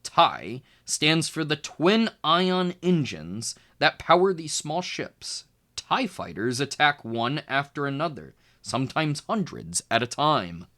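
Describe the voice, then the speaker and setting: Man reading aloud with lively, swooping intonation. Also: fairly high pitch, takes it slowly. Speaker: tutor reading a children's book aloud. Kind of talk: expressive educational read-aloud narration.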